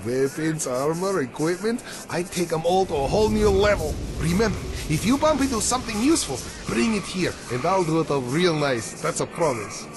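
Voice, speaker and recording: A man playing a gruff, griping repairman in a post-apocalyptic video game, talking in a colloquial, grumbling way. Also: fairly high pitch, expressive, normal speed, few pauses. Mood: proud, eager. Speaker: mechanic character. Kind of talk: video game character dialogue. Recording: music behind the voice.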